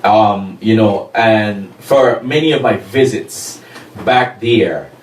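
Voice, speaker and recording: Halting, slow-talking man from Guyana. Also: on the low side, roomy sound, distant mic, slight background noise. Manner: halting, many restarts and fillers. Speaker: pastor. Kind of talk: casual panel discussion.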